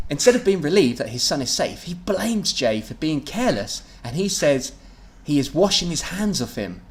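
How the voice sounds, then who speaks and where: A man's voice: fairly high pitch, sing-song, moderate pace, slight background noise. A man co-hosting a casual comedic podcast, reading aloud from his teenage fan fiction and joking about it with frequent laughter. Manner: reads aloud then jokes, frequent laughs. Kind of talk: casual comedic read-through chat.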